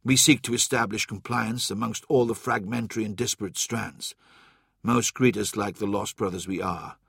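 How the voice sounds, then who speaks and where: A calm, resolute warrior captain , acted by a male audiobook reader, speaks with quiet, measured firmness. The voice is husky and creaky, recorded dry and close. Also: lowish voice, dry recording, voice pushed down, heavily acted. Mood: calm, reasoning.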